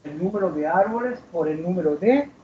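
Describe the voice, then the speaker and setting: A man's voice: sits high, expressive, a little fast, a loud crowd. Distant male teacher in an echoing classroom. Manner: asks students questions, drags out key words. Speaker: math teacher. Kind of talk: classroom lecture. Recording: roomy, distant, dull classroom recording.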